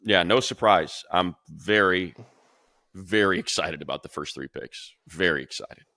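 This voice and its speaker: A man's voice: sits low, a little slow, bright, forceful tone, dry recording, studio quality. A male radio sports announcer co-hosting a casual football podcast, chatty and rattling off long lists of player names. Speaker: radio play-by-play announcer, show co-host.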